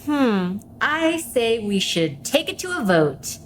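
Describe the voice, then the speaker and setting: Woman playing a showy, slow-paced celebrity influencer. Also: on the high side, very animated, a little hiss or hum. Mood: commanding. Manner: showy, self-promoting, provocative. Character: gushing, catty female Los Angeles influencer guest celebrity. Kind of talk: radio play acting.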